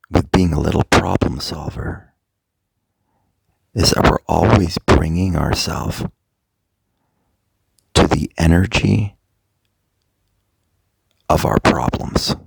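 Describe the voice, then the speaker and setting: A man speaking as a meditation coach in a guided, motivational style, deliberately, in short phrases with long pauses, his voice gravelly and husky. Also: low voice, no echo, poor audio.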